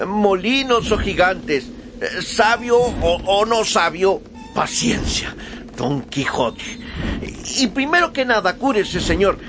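An actor playing a humble, practical squire with a deferential, hesitant way of talking in a radio drama. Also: male voice, sits high, very animated, slow pace, noisy background, heavily acted. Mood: hesitant, soothing. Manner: deferential, stammering, plain-spoken. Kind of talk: Spanish-language radio drama acting. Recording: studio radio drama with background music.

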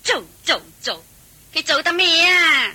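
Piercing, grumbling young Cantonese wife. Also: female voice, very high voice, expressive, unhurried, bright, forceful tone, slight background noise, full character voice. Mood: irritated, complaining. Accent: Hong Kong Cantonese. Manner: grumbling, curt, sighing. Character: grudging, complaining, hard-up young wife and daughter. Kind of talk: Chinese radio drama acting.

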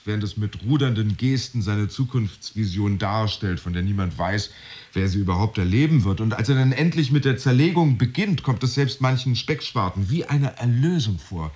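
A man's voice: medium pitch, expressive, normal speed, darkish tone, natural voice. An actor playing a brooding, wordy office worker in a radio drama, telling his tale in a confiding, wry, literary manner. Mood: wry, tense. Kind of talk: modern German radio drama monologue.

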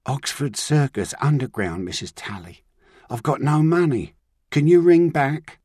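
A man's voice: mid-range voice, moderate pace, warm tone, no room sound, clean studio sound, pitched above the performer's own voice, lightly acted. A man reading an audiobook, voicing a desperate, sulky young man on the run, pleading and frightened.

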